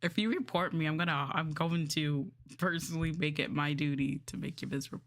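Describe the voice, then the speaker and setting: A woman with a slightly low voice talks casually to her livestream audience. She is expressive and giggly, drawing out her exclamations as she hosts. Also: a little fast. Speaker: livestream host reading scripts to chat.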